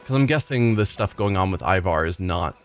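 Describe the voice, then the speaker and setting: Low-voiced man chatting through a game. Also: a little fast, soft background music. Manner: relaxed, chatty, musing aloud while he plays. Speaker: gaming channel host. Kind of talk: let's play commentary, reading game text aloud.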